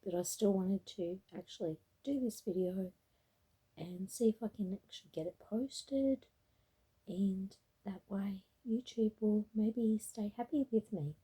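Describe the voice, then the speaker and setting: Tired, raspy woman rambling softly. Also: medium pitch, unhurried. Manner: meandering, with frequent ums and restarts. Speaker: crochet vlogger. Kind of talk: casual late-night rambling vlog. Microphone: tablet camera.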